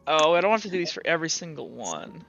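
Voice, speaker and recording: A man narrating a tabletop roleplaying game as game master, informal and halting, pausing to think. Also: a little high, huge pitch swings, gravelly, a bit bright. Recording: slightly dull audio with faint music. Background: faint music.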